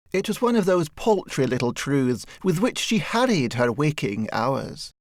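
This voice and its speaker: A man narrating a satirical short story as an audiobook, with measured, wry storytelling. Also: mid-range voice, no echo, clean studio sound.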